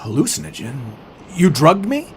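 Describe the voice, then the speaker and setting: A man acts out a wary everyday surfer in an audio story. The voice is fairly high and very animated, moving between hesitant mumbles and blurted words, with some noise behind it. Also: moderate pace. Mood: indignant. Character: wary human surfer, an ordinary working guy.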